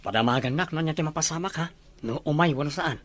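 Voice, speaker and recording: A man's voice: on the high side, fast talker, natural voice. A man plays an insistent family friend in melodrama. He sounds eager, in a radio-drama manner. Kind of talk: radio-style drama acting.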